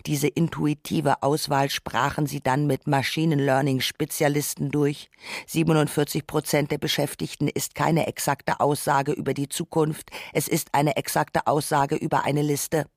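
A man's voice: a little high, level tone, moderate pace, no echo. A man narrating a scripted radio essay, arguing his points with dry irony.

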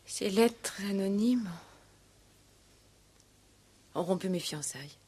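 An actress playing a proud, guarded young woman in a 1960s French radio play, breathy, hesitant and defensive. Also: mid-range voice, animated, slow pace, mellow. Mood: guarded, hurt. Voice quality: breathy, often near whisper. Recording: old 1960s radio recording.

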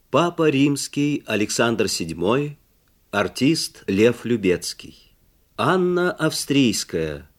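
A man reading radio drama credits as an announcer, in a formal, measured, scripted manner. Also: medium pitch.

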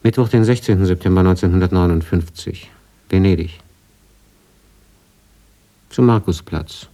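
A man's voice: low voice, moderate pace, warm tone. An actor playing a brooding writer who reads his old travel diary in terse, reflective fragments in a radio play. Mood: brooding, uneasy. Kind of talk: radio drama diary reading.

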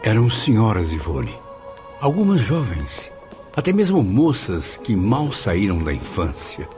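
Male role, radio drama: curious, troubled spirit narrator, lowish voice, lively intonation, earnest, music underneath. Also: unhurried. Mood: admiring, reflective. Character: anguished, curious male suicide soul narrating his afterlife.

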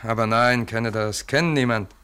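Male actor as gruff barman, radio drama: mid-pitched, laconic, casual, slight background hiss. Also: moderate pace, bright, forceful tone, lightly acted. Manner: terse, casual, clipped sentences. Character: gruff, matter-of-fact New York barman. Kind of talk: German radio play dialogue. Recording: faintly noisy old radio recording.

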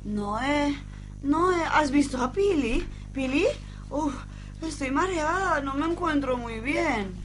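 A woman playing a dizzy, muddled character who stammers haltingly in an amateur radio soap. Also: sits high, lively intonation, a little hiss or hum. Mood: dazed. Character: dizzy, muddled woman losing her train of thought.

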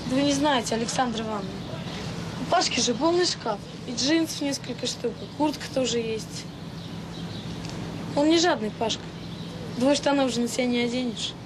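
High-voiced, stubborn orphanage girl, played by a woman. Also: moderate pace, long pauses, noisy background, lightly acted. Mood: earnest, insistent. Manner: blunt, earnest, colloquial. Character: frank, stubborn, fair-minded orphanage schoolgirl. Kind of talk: naturalistic radio drama acting.

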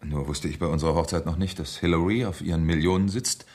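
A man acting in a German radio crime drama as a nervous, evasive husband with a husky voice and a hesitant, explaining manner. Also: low voice, fairly even intonation, fast talker, a little mellow. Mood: defensive. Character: nervous, evasive kept husband, calculating former accomplice.

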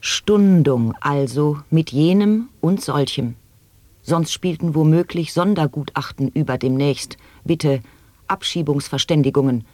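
An intent reciter of absurd word games voiced by an actor for avant-garde radio drama. The mid-pitched voice declaims rhythmically and precisely. The sound is very dry and close. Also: measured pace, a little mellow, no room sound, natural voice. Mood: rhythmic, precise.

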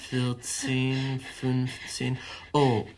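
An actor playing a scheming young nephew in a German radio crime comedy, polite, soothing and falsely solicitous. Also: male voice, medium pitch, monotone, extremely slow, darkish tone, slight background noise. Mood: feigned innocence. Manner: polite, soothing, smooth-tongued.

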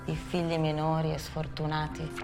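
A woman narrating a historical documentary in a measured, solemn manner. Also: deep voice, completely flat delivery, vocal fry. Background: music.

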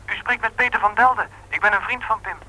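A hesitant young man in a radio play. His polite voice is very bright, over faint noise. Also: high-pitched, fast, low-quality recording. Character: polite young male friend telephoning. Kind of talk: Dutch radio play acting.

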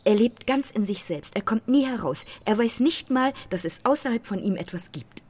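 A woman playing a self-assured, provocative photographer in a German radio drama, with a husky voice and pointed, theatrical phrasing. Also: a little high, expressive, moderate pace, darkish tone. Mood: teasing, admiring.

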